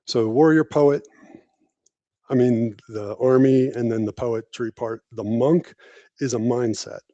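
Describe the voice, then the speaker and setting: A man's voice: medium pitch, unhurried, mellow, no echo, muffled recording. A man giving conversational interview answers, explaining things plainly in short declarative phrases. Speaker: podcast guest, technology professional and army veteran.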